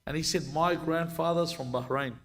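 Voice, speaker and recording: A man's voice: fairly high pitch, steady tone, normal speed, a bit bright. A man in his thirties, a sheikh, answering questions and telling stories in a live discussion. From Lebanon. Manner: conversational, storytelling, many restarts. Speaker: sheikh, guest speaker.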